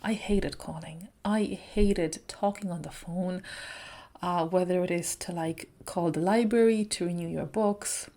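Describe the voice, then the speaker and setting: A woman talks through a personal story at an easy, deliberate pace, her voice sitting a little low. She lets pauses hang between thoughts and sounds reflective and candid, like a vlogger recounting her own past. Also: steady tone, mellow. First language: not Spanish.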